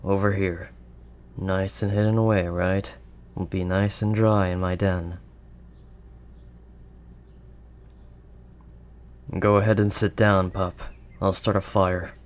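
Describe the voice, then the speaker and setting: A man voicing a gruff but kindly werewolf of the wild woods in an intimate audio roleplay, with a halting, gently protective manner. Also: low-pitched, flat delivery. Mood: concerned, reassuring. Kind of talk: audio roleplay, intimate second-person acting.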